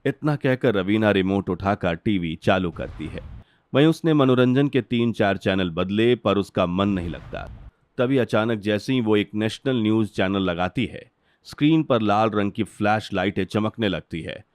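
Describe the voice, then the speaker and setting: A man's voice: on the low side, expressive, normal speed. A man narrating a dramatic cartoon story in a scripted storytelling style. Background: background music.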